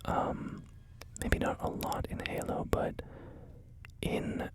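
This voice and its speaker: A man whispering through a relaxed ASMR gaming video, rambling and joking about himself with many fillers. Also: slow. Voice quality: soft unvoiced whisper. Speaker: ASMR creator.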